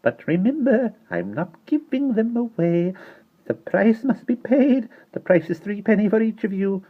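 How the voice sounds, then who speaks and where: A reader voicing a tiny, ancient, bossy shopkeeper woman with a shrill, high little voice and a cackling manner, in a storybook reading. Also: sing-song, a little slow, phone-quality sound. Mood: coaxing. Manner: cackling, swings from sweet to fierce.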